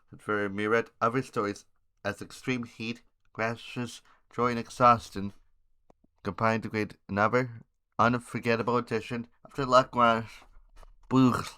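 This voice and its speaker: A man hosting an amateur news podcast, reading headlines haltingly with informal asides and stumbles over words and names. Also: medium pitch, fairly even intonation, pushed and bright, clear, resonant tone.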